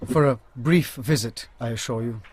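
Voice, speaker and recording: An actor playing a shrewd private detective in a radio drama, composed, dryly polite and measured, with an Indian accent. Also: male voice, medium pitch, a little mellow, a little hiss or hum, lightly acted. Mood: guarded, dry.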